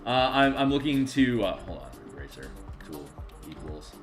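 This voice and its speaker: A raspy, tired man chatting casually on a live stream while making game art, rambling and muttering to himself as he works. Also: medium pitch, big pitch swings, normal speed, soft background music. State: tired, just woke up.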